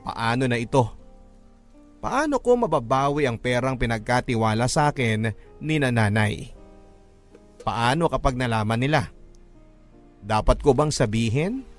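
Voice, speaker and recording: A man with a Filipino accent reads a first-person story in an emotive, earnest, classic radio drama narration style. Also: mid-range voice. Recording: narration over background music. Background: background music.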